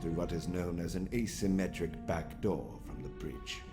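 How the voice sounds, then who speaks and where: Man acting a deep, formal sci-fi officer. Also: expressive. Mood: measured, formal. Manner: measured, formal, precise. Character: calm, formal, authoritative male military lieutenant. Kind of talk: sci-fi audio comic dub. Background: prominent music.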